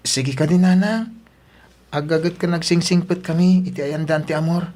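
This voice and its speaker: A man's voice: on the high side, moderate pace, darkish tone, close to an everyday voice. A man playing an eager, respectful teenage son from a poor province family in an earnest, exclaiming Ilocano radio drama style. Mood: excited, pleading.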